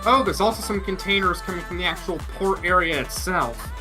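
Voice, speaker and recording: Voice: medium pitch, fairly quick, music in the background. A teammate on a game voice chat, casually thinking aloud and working out a plan. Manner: thinks aloud, explains plans.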